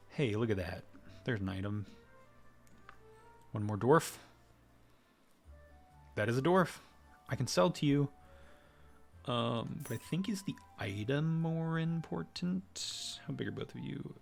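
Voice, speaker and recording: A man's medium-pitched voice with plenty of creak gives casual live game commentary. He mutters his reasoning to himself and breaks into exclamations, with faint music underneath. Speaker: video game streamer. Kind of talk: casual live gameplay commentary, thinking aloud.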